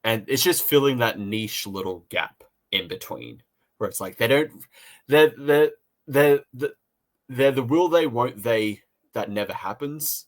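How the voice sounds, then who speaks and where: A man from Australia co-hosting a casual review chat, talking in a halting way and often repeating words. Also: medium pitch, expressive. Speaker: podcast co-host and reviewer.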